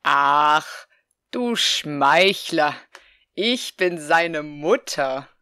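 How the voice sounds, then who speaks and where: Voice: mid-range voice, animated, extremely slow, pushed and bright, clear, resonant tone, no echo, clean studio sound, heavily acted. An actor playing a doting, naive older mother, sweet and fussing, in a parody detective audio play. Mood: sweetly fussing.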